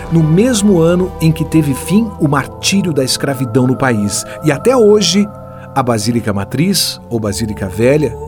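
Brazilian guy doing solemn devotional radio drama narration, mid pitch, music behind.

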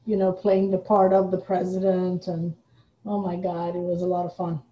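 A woman's voice: fairly low pitch, monotone, normal speed, crowd noise. A woman from Iran chatting reflectively as an interview guest, recorded with a dull, roomy sound. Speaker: guest, playwright and artistic director. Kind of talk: casual reflective chat.

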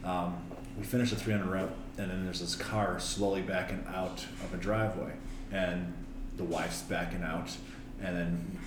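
Low-voiced middle-aged man telling a story. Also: little pitch movement, normal speed. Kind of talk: casual storytelling. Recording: echoey room with clear noise.

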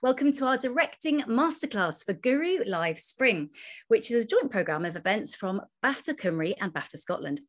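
A woman's voice: on the high side, very animated, normal speed. A woman film critic hosting an online directing masterclass, friendly and upbeat, reading a scripted introduction before interviewing her guest. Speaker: film critic, broadcaster and event host.

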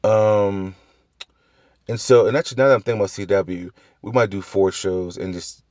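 A man hosts a loose, talky review show and wanders off on tangents, restarting sentences and tossing in the odd swear. His voice sits a little low, and a slight cough hangs on from a recent flu. The recording is dry, with a slightly dull, lo-fi sound. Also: fairly even intonation, moderate pace, a little mellow, no echo. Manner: rambling with tangents, frequent restarts and mild swearing. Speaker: podcast host reviewing TV shows. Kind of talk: casual solo review chat.

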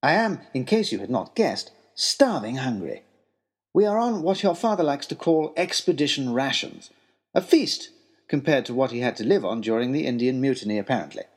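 Guy narrating an adventure diary, wry n formal, really lively pitch, slightly husky. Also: moderate pace. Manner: expressive, wry, formal storytelling. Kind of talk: scripted first-person story narration.

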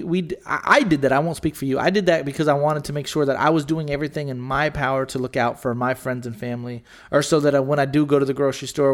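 A man talking loosely on a podcast, stuffy and a little scratchy in the throat. He circles back on himself, restarts sentences and drops the odd curse word. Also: mid-range voice. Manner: rambling, restarts often, swears casually. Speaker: podcast co-host. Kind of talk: casual podcast chat.

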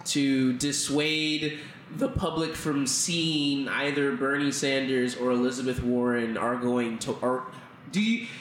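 A man's voice: mid-range voice, flat delivery, a little slow, a bit bright. An American man from Texas hosting a casual news-talk podcast, addressing listeners in an informal, folksy way. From Texas, United States. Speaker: podcast host. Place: indoor studio under renovation. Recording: echoey room, faint background noise.

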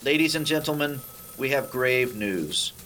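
A man playing a solemn 1930s radio newsreader, delivering his bulletin gravely with weighty pauses. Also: mid-range voice, lively intonation, pushed and bright, a little hiss or hum. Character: grave, solemn male radio news announcer. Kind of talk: 1930s radio news acting, spoofed.

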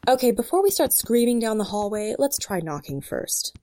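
A woman's voice: a little high, big pitch swings, moderate pace, warm tone. No-nonsense female FBI agent. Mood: calm, authoritative. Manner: authoritative, no-nonsense, terse. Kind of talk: audio drama acting.

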